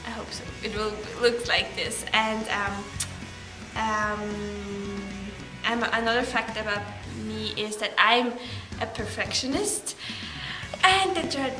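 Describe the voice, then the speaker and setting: Halting teen exchange student, Russian native. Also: female voice, medium pitch. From Belarus. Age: teens. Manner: halting, many fillers and restarts. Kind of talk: casual interview answers. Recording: echoey room with music behind.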